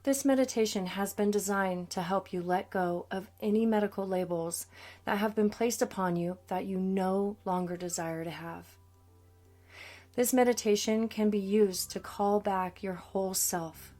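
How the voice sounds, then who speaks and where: A woman coach narrating a scripted guided meditation in a soothing, deliberate manner. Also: medium pitch, darkish tone. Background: background music.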